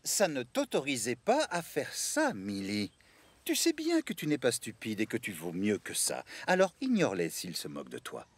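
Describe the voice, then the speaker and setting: A man's voice: mid-range voice, wildly swooping pitch, normal speed, husky, no room sound. A man voicing a kindly, effusive, courtly count with a gallant, emphatic manner in a French-dubbed children's cartoon. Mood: kindly reproving. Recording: loud music behind the voice.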